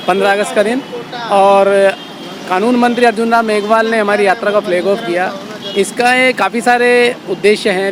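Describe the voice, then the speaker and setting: A man from Jaipur, India, giving earnest, spontaneous interview answers and mixing in English words. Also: sits high. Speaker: interviewee, touring social activist. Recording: clear traffic noise outdoors. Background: street and vehicle noise.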